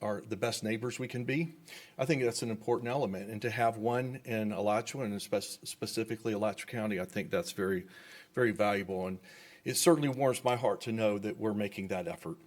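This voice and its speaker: A man's voice: lowish voice, fairly quick. A man speaking as a developer at public comment in a government meeting, enthusiastic and rambling with repeated words.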